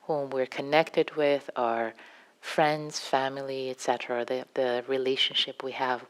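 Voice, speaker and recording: Woman, Farsi accent, low voice, unhurried, gentle, halting meditation talk. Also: fairly even intonation, clear, resonant tone. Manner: gentle, halting, with frequent restarts. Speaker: meditation teacher. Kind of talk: extemporaneous dharma talk. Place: meditation hall.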